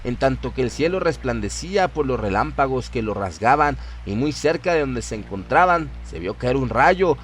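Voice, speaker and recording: Lively male horror narrator. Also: mid-range voice, normal speed, hardly any pauses, a little hiss or hum. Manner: theatrical, literary storytelling. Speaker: radio drama narrator. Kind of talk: dramatic scripted horror narration.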